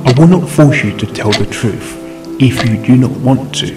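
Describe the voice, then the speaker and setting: A man voicing a calm, patient male pony doctor who asks measured questions in a fan audio drama reading. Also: medium pitch, lightly acted. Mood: calm, probing. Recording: echoey reading over loud music.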